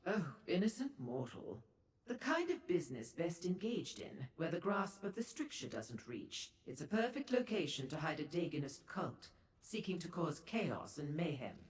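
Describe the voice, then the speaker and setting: A low, sultry demon seductress for a fantasy video game. She is theatrical and worldly, toying with the listener in ornate, patronizing phrases. Her pitch glides widely, and the voice rings with long, echoing reverb. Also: big pitch swings, moderate pace. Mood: knowing, condescending. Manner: theatrical, coy, languid purring delivery with ornate formal phrasing. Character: seductive female dark seducer Daedra merchant, worldly and condescending. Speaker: information broker and proprietor. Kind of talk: video game character dialogue. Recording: echoey hall-like reverb on the voice.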